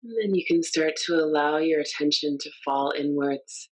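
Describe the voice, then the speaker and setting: A woman leading a guided meditation in a gentle, unhurried, inviting way. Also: sits low, rough recording.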